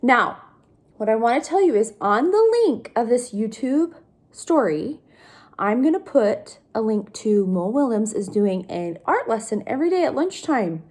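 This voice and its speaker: Slow, high-voiced woman reading to children. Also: sing-song, a little mellow. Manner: simple explanations, frequent questions to listeners. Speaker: teacher reading aloud to children. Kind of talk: picture book read-aloud with asides and questions to children.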